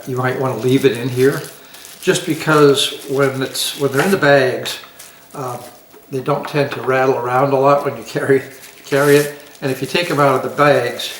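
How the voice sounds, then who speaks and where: A man's voice: mid-range voice, a little hiss or hum. An older man, sixty or above, giving a casual product demonstration in an easygoing, folksy, plain-spoken way. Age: 60s or older. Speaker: product reviewer. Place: home dining room, indoors. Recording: echoey room, distant microphone.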